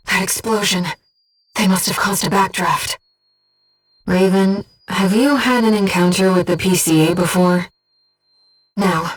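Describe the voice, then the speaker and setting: Game casting: woman as gentle bodiless female operator guiding a pilot, composed, earnest, mid-pitched, music underneath. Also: distant mic, natural voice. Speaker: mission support operator. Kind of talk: video game mission voice lines.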